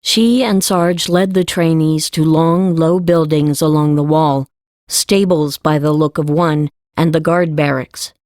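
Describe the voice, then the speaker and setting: A female narrator tells a fantasy story from a script. Her voice sits on the low side, and the recording is close and dry, as in an audiobook. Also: steady tone, unhurried, a little mellow, no room sound.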